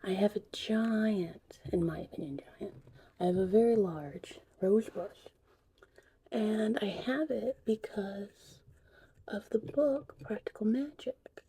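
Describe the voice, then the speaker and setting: A woman playing a gentle, whimsical witch in an ASMR roleplay, speaking in a soft, breathy, soothing whisper. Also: medium pitch, exaggerated voice. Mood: gentle, confiding. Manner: slow, soothing, unhurried. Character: gentle, whimsical female witch teaching potion making. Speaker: ASMR performer.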